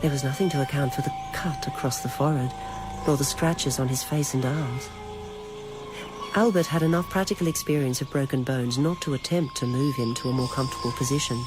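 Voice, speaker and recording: A narrator reading a novel aloud in a measured, literary storytelling manner. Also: mid-range voice, over music. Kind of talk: scripted audiobook-style narration of a novel.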